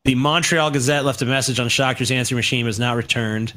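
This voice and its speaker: A man's voice: mid-range voice, steady tone, fast talker, pushed and bright. A man co-hosting a podcast, bantering casually with profanity and reading news aloud in a flippant, crude way. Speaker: podcast co-host.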